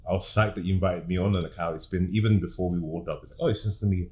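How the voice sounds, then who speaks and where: Low-voiced man, cheeky. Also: steady tone, racing, crowd noise. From London, England. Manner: playful, cheeky storyteller. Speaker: podcast guest, comedian and artist. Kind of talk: casual interview chat.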